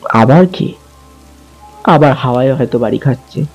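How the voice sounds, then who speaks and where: A man telling a first-person horror story in a slow, suspenseful way. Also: mid-range voice, darkish tone. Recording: muffled lo-fi with faint music.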